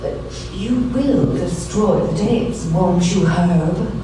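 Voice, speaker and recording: A woman's voice: on the low side, slow pace. A woman playing an anxious, genteel, wealthy client in a noir radio play, nervous, hesitant and fussily polite. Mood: anxious, pleading. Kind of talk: noir radio theater acting. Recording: echoey, distant, noisy stage recording.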